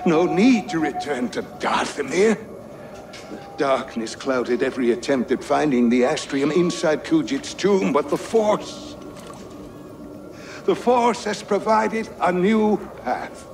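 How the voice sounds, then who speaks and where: An older man playing a reverent, excitable scholar in a video game, theatrical and earnest. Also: on the high side, takes it slowly, slight background noise. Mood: awed, excited. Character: elderly male scholar, reverent, excitable explorer. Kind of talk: dramatic game monologue. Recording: music behind the voice.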